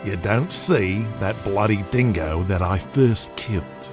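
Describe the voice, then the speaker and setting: Give this audio drama , acted out by a male narrator, a domineering Australian tycoon and big-game hunter. He is boastful, foul-mouthed and intimidating, pitched above the narrator's usual talk. Music plays clearly behind him. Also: takes it slowly. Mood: commanding, scornful.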